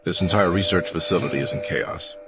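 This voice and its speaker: A man playing a grim, deliberate counselor recording an urgent audio log in a sci-fi horror drama. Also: low-pitched, close to an everyday voice. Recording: loud music under the voice.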